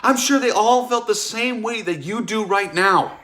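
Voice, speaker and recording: An actor playing a jealous, bitter, wounded husband, accusing and scornful in a theatrical period drama table read. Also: male voice, sits high, measured pace, sharp tone. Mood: bitter warning. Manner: accusing, emphatic delivery.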